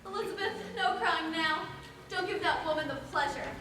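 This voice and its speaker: A woman's voice: very high voice, moderate pace, sharp tone, a little hiss or hum. A young woman playing a concerned, defiant asylum patient in a school stage play. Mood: urgent, concerned. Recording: distant reverberant school auditorium.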